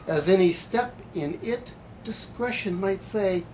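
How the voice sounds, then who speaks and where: A man giving a live poetry reading in a bookstore, with halting, hesitant asides and deliberate delivery. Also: high-pitched, expressive, darkish tone. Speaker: poet. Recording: light background room noise.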